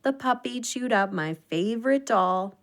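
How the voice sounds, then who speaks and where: A woman playing a tender, grieving younger sister in an intimate audio drama, speaking in a gentle, wistful way. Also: a little high, expressive, measured pace. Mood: tender, nostalgic.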